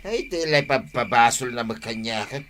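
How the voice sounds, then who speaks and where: A man's voice: mid-range voice, expressive, moderate pace, fairly bright tone, lightly acted. A man acting a mischievous, evasive married villager who wheedles his way along in an Ilocano radio comedy drama. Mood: grumbling.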